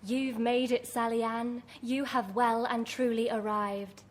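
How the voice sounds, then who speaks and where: A pleased young heroine played by a woman in a horror radio play, speaking slowly and theatrically in a fairly high voice, with faint noise behind. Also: little pitch movement, natural voice. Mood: delighted. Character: self-satisfied young woman luxuriating in success. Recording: live stage, faint noise.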